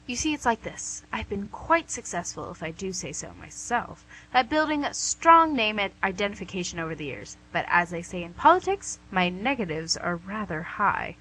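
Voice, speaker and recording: A woman's voice: mid-range voice, very animated, moderate pace, hardly any pauses, pushed and bright, slight background noise, low-quality recording. A woman playing a smug, coaxing female devil in a comic radio play, with a theatrical, glib delivery. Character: smug, wheedling, image-conscious female devil called Lucy.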